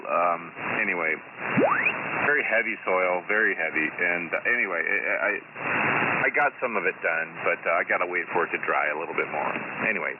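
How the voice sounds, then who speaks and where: Through heavy radio static comes a middle-aged man with a fairly low voice. He rambles casually, repeating words and letting sentences trail away. The sound is narrow and tinny, as on a two-way radio. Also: normal speed. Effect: two-way radio transmission. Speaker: amateur radio operator. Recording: narrow, very noisy ham radio transmission.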